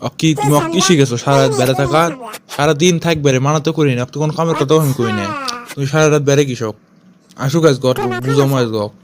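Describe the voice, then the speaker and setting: A man's voice: medium pitch, fast, a crowd in the background, natural voice. A man voicing an angry, blustering village father with a Bogura accent in a comic amateur cartoon dub. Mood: angry, complaining. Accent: Bogura, northern Bangladesh. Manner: scolding, blustering, full of threats. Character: angry, threatening village father scolding wife and son.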